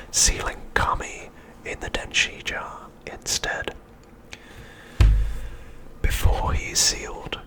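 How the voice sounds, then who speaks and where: A man narrating a scripted recap for ASMR in a breathy, unvoiced whisper, with a hushed, measured delivery. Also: low-quality recording. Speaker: ASMR host.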